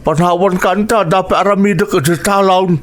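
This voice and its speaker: An actor with an Ilocano accent playing an older, talkative village husband in a radio drama. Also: male voice, high voice, steady tone, a little fast, slight background noise, natural voice. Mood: chatty.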